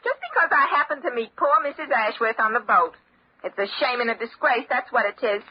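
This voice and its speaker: Actress as a huffy, high-pitched society wife. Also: female voice, a little fast, close to an everyday voice. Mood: outraged. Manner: huffy, scolding. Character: indignant, haughty well-connected married woman. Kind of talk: old-time radio crime acting. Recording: noisy, dull old radio recording.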